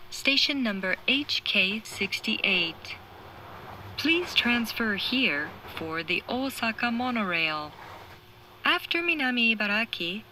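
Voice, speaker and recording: A woman reading formal, measured scripted train announcements in clearly separated phrases through an onboard speaker. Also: fairly high pitch, sharp tone, a little hiss or hum, poor audio. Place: recorded inside a train carriage.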